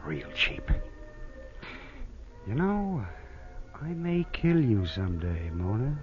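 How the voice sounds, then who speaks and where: Slow, sardonic male accomplice. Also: mid-range voice, very animated, music in the background. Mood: bitter, resigned. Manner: sardonic, grudging. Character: glum, bitter reluctant male accomplice. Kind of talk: 1940s radio crime drama acting. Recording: muffled old radio recording.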